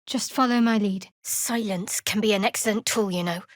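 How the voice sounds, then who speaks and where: A woman playing a guarded, sardonic young cleric of a dark goddess in a fantasy video game, speaking in a dry, measured way. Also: medium pitch, no echo, lightly acted. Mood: teasing, sardonic. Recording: dry close studio recording.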